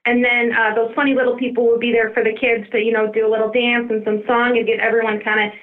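A woman's voice: on the high side, flat delivery, quick pace. A woman speaking as a recreation department guest in a casual radio interview over the telephone. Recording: muffled narrow phone line.

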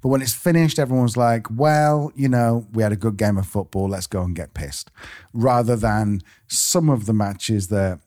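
A man's voice: fairly low pitch, normal speed, darkish tone. A British man co-hosting a podcast, telling anecdotes in a casual, jokey and self-mocking way. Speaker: podcast co-host.

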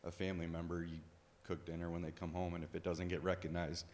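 A man with a very deep voice asks a question slowly and hesitantly, searching for his words. The long reverb of a hall surrounds him. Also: completely flat delivery, darkish tone. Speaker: group member asking a question.

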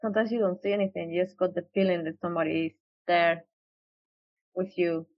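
A woman's voice: lowish voice, fairly even intonation, fairly quick. A woman guest on a podcast, talking in casual interview chat, hesitant with frequent restarts. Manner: hesitant, many restarts and fillers. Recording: dry, dull lo-fi audio.

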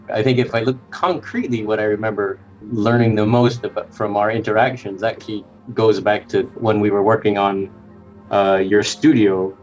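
A man as a podcast guest, chatting casually in a reflective, amused way, on a dull lo-fi recording. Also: on the low side. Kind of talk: casual chat.

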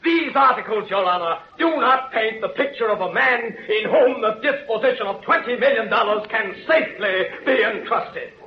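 A pompous prosecuting lawyer in a 1930s radio drama. He declaims in a high, insistent voice and badgers as he goes. The recording is dull, with faint noise. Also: lively intonation, moderate pace, few pauses. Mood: oratorical.

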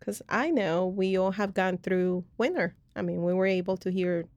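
A woman's voice: on the low side, fairly even intonation, normal speed, darkish tone, no echo, lo-fi sound. A woman from Colombia, hosting a podcast and sharing her faith story in a rambling, earnest way with lots of fillers. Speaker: podcast host. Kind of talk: personal faith testimony in casual chat.

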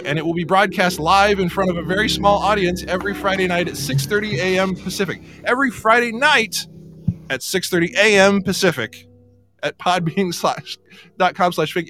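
A man's voice: high voice, bright, forceful tone. A man hosting a casual live podcast chat, tossing in wry, incredulous asides. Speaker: podcast host.

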